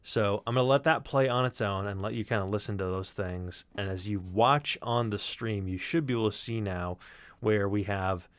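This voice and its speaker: A man's voice: on the low side, dry recording. A man giving a casual, rambling livestream walkthrough as a sound designer. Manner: informal, rambling, discursive.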